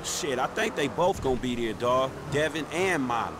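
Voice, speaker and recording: An actor playing a streetwise, wry young hustler in a video game, talking in casual, protesting slang. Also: male voice, medium pitch, animated, normal speed, music in the background, close to an everyday voice. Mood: exasperated, amused. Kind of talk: naturalistic video game acting.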